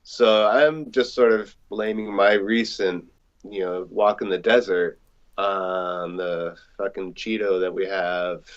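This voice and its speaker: A man's voice: low-pitched, steady tone, takes it slowly, lo-fi sound. A man talking casually as a musician in a group interview. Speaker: musician, singer and songwriter. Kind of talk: casual chat.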